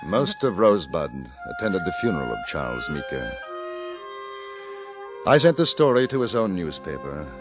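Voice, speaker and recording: An actor playing an earnest newspaper correspondent in an Old West radio drama, narrating with dramatic intensity. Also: male voice, low voice. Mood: somber, subdued. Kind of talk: 1950s radio western acting, dramatic first-person narration. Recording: old radio recording, music behind.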